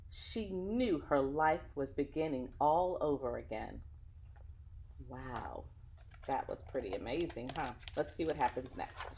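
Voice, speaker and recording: A woman's voice: low-pitched, darkish tone. A woman reading a children's story aloud like a teacher, with dramatic phrasing and an engaging way of addressing young listeners.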